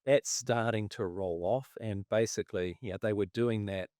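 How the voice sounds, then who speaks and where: A man chats about markets in a halting, casual way, often stopping to clear his throat. His voice sits a little low and is wearing thin as he loses it. The sound is dry and close, with no room around it. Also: darkish tone, dry recording. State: losing his voice. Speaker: investment commentator.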